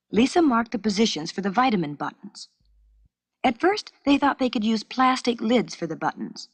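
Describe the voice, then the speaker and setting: A woman reading a scripted children's educational story in an expressive storytelling manner, on a 1970s cassette recording. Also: medium pitch, slightly rough, no room sound. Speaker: narrator of a children's educational filmstrip.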